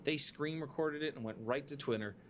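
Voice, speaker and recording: A man's voice: fairly high pitch, a little fast, fairly bright tone, a little hiss or hum. A man delivering an earnest, indignant monologue straight to his viewers, speaking emphatically as an online creator.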